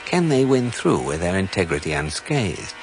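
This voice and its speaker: A man's voice: low voice, normal speed, music in the background. A man playing a dry, erudite guidebook narrator in a radio comedy, with an English accent. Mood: mock dramatic. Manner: deadpan, erudite.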